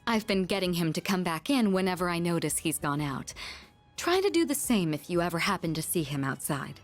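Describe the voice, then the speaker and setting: A woman's voice: lowish voice, expressive, fairly quick, faint music behind, lightly acted. An actress playing a composed, responsible young woman in a video game, poised, formal and firm. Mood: calm, firm. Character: composed, responsible, mature teenage girl leader.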